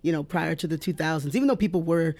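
A man's voice: fairly high pitch, fast talker, darkish tone. A guest in his thirties from New Jersey who talks in a reflective way, with many fillers and restarts. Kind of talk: casual interview.

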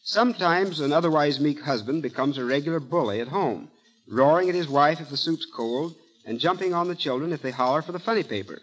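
A man with a slightly high voice speaks like a professor. His delivery is formal, precise and didactic, clearly read from a script for 1930s radio. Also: animated, brisk, fairly bright tone, poor audio. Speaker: guest psychologist, professor.

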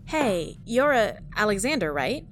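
A woman's voice: medium pitch, sing-song, a little slow, background noise. A woman playing a friendly, sociable scientist on a starship crew in a sci-fi audio drama.

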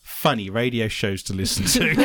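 A man from London with an English accent, hosting a radio talk show in a chatty, animated way and laughing often. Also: sits high. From London, England.

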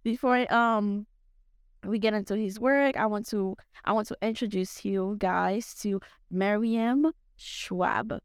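Young female podcast host, French accent, halting and full of fillers, dry close recording. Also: medium pitch, smooth, no echo. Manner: hesitant, many fillers and restarts. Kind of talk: casual podcast chat.

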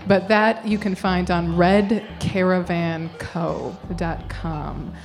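A woman's voice: on the low side, fairly even intonation, slow. A young woman, an actor guest, talking casually in a radio interview and laughing often. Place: storefront music bar.

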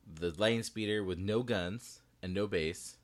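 A man's voice: on the low side, unhurried. A man co-hosting a podcast, chatting casually and animatedly, laughing often as he talks, recording in a small bedroom. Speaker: podcast co-host. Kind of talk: casual chat about a hobby. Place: small bedroom with the door shut.

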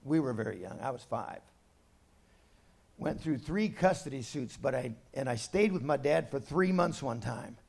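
A middle-aged man preaching a sermon in a conversational way, dropping to quiet asides and rising to loud, emphatic points. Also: medium pitch, animated, normal speed, creaky, slightly rough. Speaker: pastor.

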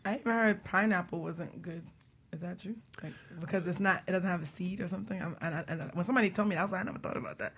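A woman's voice: fairly low pitch, rapid-fire, a bit bright, slightly rough. A woman hosting a talk show in casual conversation, asking questions in a curious, candid, chatty way. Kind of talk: casual chat.

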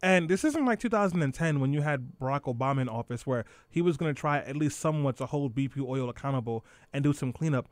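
A man co-hosting a casual talk show about the news, talking quickly and going off on tangents. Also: medium pitch, dry recording. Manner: fast, animated, tangent-prone. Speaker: podcast co-host. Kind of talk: casual political chat.

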